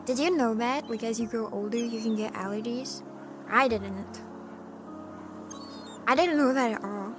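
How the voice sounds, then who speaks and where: A woman streamer chatting casually with her live chat, with a hoarse voice and a playful cat persona. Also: a little high, a little slow, long pauses. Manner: chatty and playful, often clearing her throat. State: hoarse from allergies. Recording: music playing in the background.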